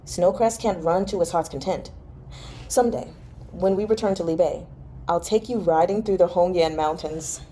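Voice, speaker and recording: A woman's voice: fairly low pitch, normal speed, mellow, a little hiss or hum, lightly acted, low-quality recording. A woman reading the part of a devoted, restless young nobleman in a storybook manner. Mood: tender, wistful. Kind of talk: expressive audiobook-style novel reading.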